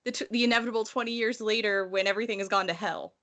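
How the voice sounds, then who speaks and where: Female co-host, bright forward voice, mid register, wry and irreverent, informal analysis. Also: medium pitch, steady tone, very fast. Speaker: podcast co-host discussing a novel. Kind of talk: casual analytical book discussion.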